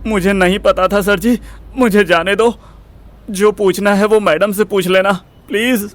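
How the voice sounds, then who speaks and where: A man's voice: high-pitched, steady tone, unhurried, voice pushed up. A man playing a timid, frightened young man in an audio drama, stammering and pleading. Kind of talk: Hindi audio drama acting.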